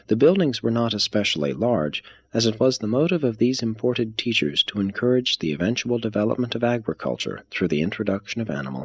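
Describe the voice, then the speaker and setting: A man reading a religious text aloud as scripted narration, in a measured, formal manner. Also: on the low side, slightly rough. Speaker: reader.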